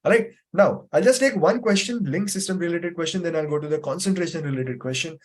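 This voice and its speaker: A man's voice: a little high, fast talker. A man teaching a live online memory class over a video call, patiently explaining and repeating points with frequent fillers. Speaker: memory trainer.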